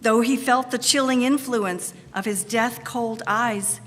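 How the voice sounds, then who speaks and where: Female narrator, clear bright tone, slow expressive storytelling, dramatic scripted reading in a reverberant hall. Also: fairly high pitch, a little hiss or hum. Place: large church, live performance. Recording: echoey hall, long reverb.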